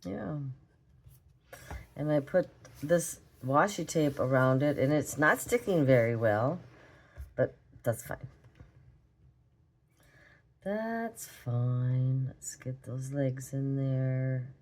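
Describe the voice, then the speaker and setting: Raspy, low-voiced woman with a cold. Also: slow pace, pauses often, a little mellow. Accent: Minnesotan. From Minnesota. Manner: chatty, rambling, talks while drawing. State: hoarse from a cold. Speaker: art vlogger. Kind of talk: relaxed drawing tutorial chat.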